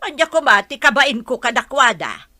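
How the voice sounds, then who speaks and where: A woman playing a feisty, sharp-tongued, combative village woman in an Ilocano radio comedy drama, with an Ilocano accent. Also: high voice, big pitch swings, moderate pace, dry recording, studio quality, heavily acted. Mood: indignant, scolding.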